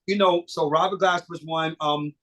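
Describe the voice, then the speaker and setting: A middle-aged man, a singer and producer, chatting casually as an interview guest, animated and swearing freely with many fillers and restarts. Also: fairly high pitch, normal speed.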